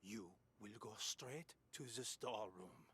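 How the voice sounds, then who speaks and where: A man's voice: fairly low pitch, a little slow, bright, forceful tone, slightly rough, heavily acted. An actor playing an agitated, brusque criminal man in an audio drama game, with faint noise behind him. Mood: impatient, bossy. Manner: brusque, demanding. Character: agitated, impatient, bossy criminal man on the phone. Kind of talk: radio drama game acting.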